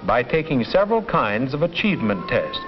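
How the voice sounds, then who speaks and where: A man playing an earnest schoolteacher, narrating a 1950s educational film in measured, formal phrases. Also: medium pitch, sing-song, close to an everyday voice. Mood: satisfied. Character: earnest male schoolteacher narrating his class's progress. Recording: dull 1950s film soundtrack with music.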